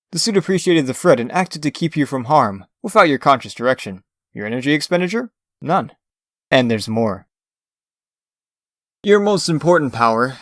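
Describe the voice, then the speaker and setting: A man's voice: mid-range voice, a bit bright, no echo, natural voice. A man playing a theatrical magician and escape artist in a comic dub, lecturing in a grandiose, urbane and condescending way.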